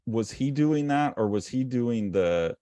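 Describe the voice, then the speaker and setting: A man's voice: medium pitch, animated, a little slow, warm tone, dry recording. A man co-hosting a casual art discussion, talking loosely and eagerly with many fillers and restarts. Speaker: co-host.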